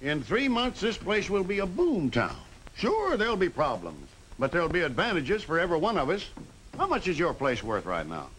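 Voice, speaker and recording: Pragmatic small town booster guy, salesman talk, swingy pitch, slightly noisy 50s movie audio. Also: huge pitch swings, moderate pace, a bit bright. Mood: upbeat, persuasive. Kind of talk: 1950s film drama acting. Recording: noisy old 1950s film soundtrack.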